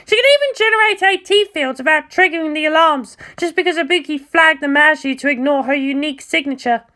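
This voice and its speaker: A woman's voice: high-pitched, brisk, pushed and bright, voice pushed up. A woman voicing a brash, fiery teenage girl mecha pilot in a story, blunt and sarcastic. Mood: urgent, boastful. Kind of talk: acted story dialogue.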